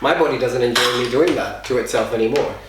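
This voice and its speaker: A young man hosts a casual podcast with a relaxed, joking manner. His mid-range voice sounds distant and roomy, with faint noise under it. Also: medium pitch, fast talker. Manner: easygoing, joking, laughs often. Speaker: podcast host. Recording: echoey room, distant mic, faint noise.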